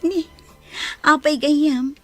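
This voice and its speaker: A woman's voice: high voice, steady tone, drawn-out words, music in the background. A woman with an Ilocano accent playing a lovelorn woman confiding in a friend in a radio drama. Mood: wistful.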